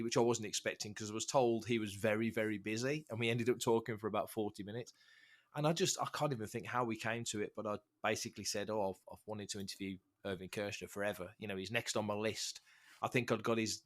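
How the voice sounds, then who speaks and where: A man's voice: lowish voice, a bit bright. A man guesting on a podcast who is getting over a cold, sounding bunged up, chatting and telling anecdotes in a self-deprecating way. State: congested from a cold. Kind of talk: casual podcast chat.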